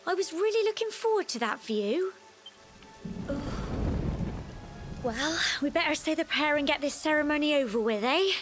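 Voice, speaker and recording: A woman's voice: high voice, normal speed, pauses often, music in the background. A woman playing a young, chatty village girl, anxious but plucky, in a video game. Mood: wry, cheerful. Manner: folksy, expressive.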